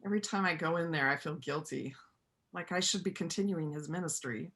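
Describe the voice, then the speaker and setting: A woman's voice: deep voice, brisk, fairly bright tone. A woman playing a kind, plain-spoken widow who works with farm animals, in a reader's theater play. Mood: reflective, earnest. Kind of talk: stage reader's theater dialogue.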